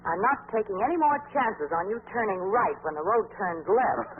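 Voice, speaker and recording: A woman's voice: mid-range voice, big pitch swings, moderate pace, close to an everyday voice. A woman acting a witty, teasing lady companion in a 1940s radio mystery, with a wry, sarcastic manner. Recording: tinny, faintly noisy 1940s radio recording.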